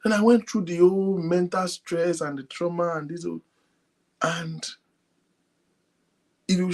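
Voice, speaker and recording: A man hosting an online talk show, speaking softly and addressing his viewers directly. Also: a little high, rough recording. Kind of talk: casual talk to a live audience. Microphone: phone linked to a computer.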